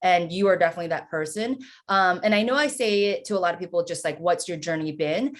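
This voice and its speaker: A woman hosts a relaxed, informal interview. Her voice is a little on the low side. She speaks conversationally and affirms her guest with an encouraging manner. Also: fast talker. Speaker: interview host.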